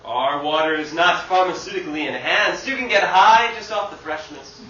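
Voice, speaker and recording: A patronising salesman played by a man with a high, bright voice, pitching his wares in comic theatre style. He sounds some way from the mic in an echoey room. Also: normal speed. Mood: patronising, proud. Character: smooth-talking, patronising water salesman. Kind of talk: comic stage acting. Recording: distant mic in echoey theatre, dull, faint noise.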